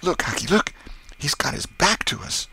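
A man voicing an excitable, superstitious young boy in a storybook, speaking a rustic dialect with whispery urgency. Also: mid-range voice, moderate pace, pitched above the performer's own voice, heavily acted. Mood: frightened.

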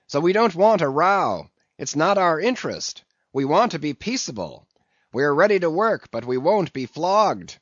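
A man voicing a defiant, rough male sailor who leads a mutiny, speaking forcefully. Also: on the high side, very animated, normal speed, dry recording, pitched above the performer's own voice. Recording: dull, dry lo-fi recording.

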